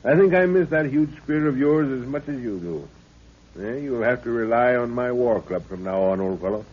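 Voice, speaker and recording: An actor playing a brisk, fatherly middle-aged professor who leads an expedition in an old radio drama, hearty and commanding. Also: medium pitch, lively intonation, lightly acted. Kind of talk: 1930s radio drama acting. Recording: muffled 1930s radio recording.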